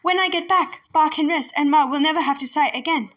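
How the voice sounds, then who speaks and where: A woman's voice: sits high, brisk, fairly bright tone. A woman voicing a hopeful, determined young girl adventurer in a storybook, earnest and thoughtful.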